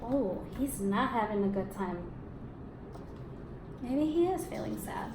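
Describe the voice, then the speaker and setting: A woman teaching young children in a read-aloud lesson, encouraging and expressive, asking questions and confirming answers. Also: on the high side, takes it slowly. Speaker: elementary school teacher. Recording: echoey room with background noise.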